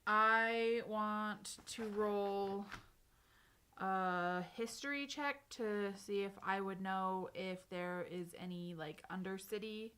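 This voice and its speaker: A woman's voice: mid-range voice, fairly even intonation, slow pace, bright, forceful tone, slight background noise. A woman talking casually at the game table as a player, thinking through her moves.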